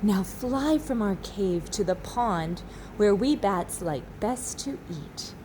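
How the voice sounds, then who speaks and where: A woman teacher reading a children's storybook aloud expressively, with dramatic pauses. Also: mid-range voice, lively intonation, darkish tone, a little hiss or hum, rough recording. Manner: slow, dramatic pauses, asks listeners questions.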